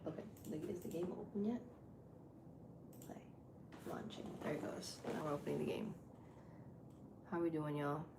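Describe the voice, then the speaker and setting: A woman live streamer with a deep voice, chatting casually with her viewers while she sets up a game. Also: normal speed, vocal fry, pauses often. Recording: echoey room with loud background noise.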